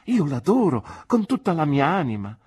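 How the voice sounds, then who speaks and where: A man's voice: mid-range voice, moderate pace, breathy edge, pitched above the performer's own voice. A man voicing an earnest young man in love, protesting fervently and stumbling over his words. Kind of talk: audiobook character voice.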